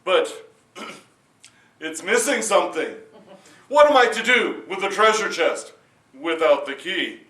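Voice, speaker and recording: A man doing a booming, jolly king. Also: expressive, slow, long pauses, exaggerated voice. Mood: jovial. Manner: loud, hearty laughs, stretched threats. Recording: roomy echo, distant mic.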